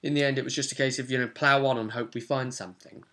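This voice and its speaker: A man's voice: medium pitch, steady tone, fast, bright, forceful tone. A young man with a British accent casually recapping a tabletop role-playing session as its game master. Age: young adult. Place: small cluttered room at home.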